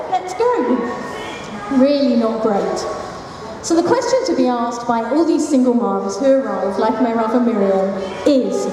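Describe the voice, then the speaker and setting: A woman gives a chatty conference presentation, with self-deprecating jokes and asides. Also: high voice. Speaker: founder of a support group for single mothers. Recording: echoey hall, mic heard from a distance, noisy.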